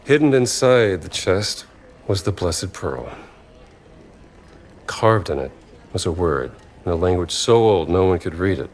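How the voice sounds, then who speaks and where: An actor with an American accent playing a gruff, wry 1930s adventurer-archaeologist in a game cutscene. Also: male voice, deep voice, lively intonation, measured pace, pauses often. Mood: measured storytelling. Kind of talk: cinematic game cutscene acting.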